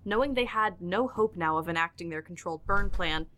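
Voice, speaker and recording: A woman's voice: fairly low pitch, brisk, bright, forceful tone. A woman reading scripted third-person narration for an audio drama as its storyteller.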